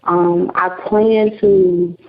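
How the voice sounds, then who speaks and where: A woman's voice: fairly low pitch, fairly even intonation, very slow. Chatty young woman, lo-fi audio. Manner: chatty, with many fillers like "um" and "like". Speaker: interview guest, radio host and publisher. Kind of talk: casual interview chat. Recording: dull lo-fi podcast audio.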